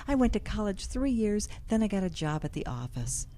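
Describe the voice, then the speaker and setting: A woman playing a rueful, excitable middle-aged woman in an audio drama, plain-spoken and self-deprecating. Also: mid-range voice, a little fast, natural voice. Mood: exasperated, reflective. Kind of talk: naturalistic audio drama acting.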